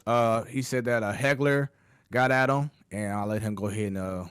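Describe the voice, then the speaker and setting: A man hosting a sports talk show, speaking in a fairly low voice. His delivery is loose and chatty, full of fillers and restarts. The recording is dry and close. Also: steady tone, moderate pace, clear, resonant tone, dry recording.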